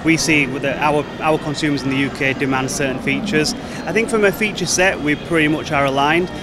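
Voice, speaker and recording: A man's voice: a little high, a little fast. A man in his thirties, a marketing manager, answering interview questions about products at a busy trade show with fluent, promotional talk. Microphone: handheld mic held by interviewer. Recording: noisy trade show floor. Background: crowd chatter and hall noise.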